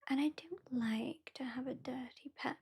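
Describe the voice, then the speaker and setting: A British woman voicing a haughty, mocking female vampire in an ASMR roleplay, soft-spoken and often whispering. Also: high voice, normal speed, a little hiss or hum. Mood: teasing. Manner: soft-spoken, drifting into whispers, dryly sardonic. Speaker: ASMR performer.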